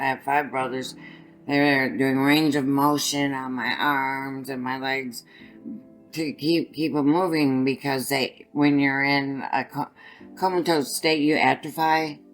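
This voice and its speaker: An older woman with a raspy voice, telling her own story as an interview guest, halting and restarting as she goes. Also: a bass voice, poor audio. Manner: halting, restarts often while recounting.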